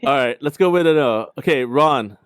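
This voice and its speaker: A middle-aged man hosting a livestream, chatting casually and excitably in slangy speech with co-hosts and viewers. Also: medium pitch, normal speed, smooth. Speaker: host of a retro pop culture livestream.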